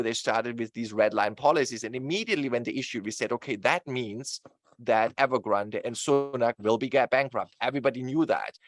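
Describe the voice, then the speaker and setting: Talkative German-accented man, mid pitch, dry sound; expert guest walking through slides. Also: no room sound. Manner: talkative, explanatory, many fillers. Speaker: guest real estate expert. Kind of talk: explaining slides in an interview.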